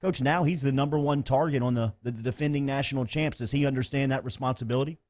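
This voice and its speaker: A man's voice: medium pitch, steady tone, quick pace, a little mellow. A man hosting a sports radio show, interviewing in a conversational style.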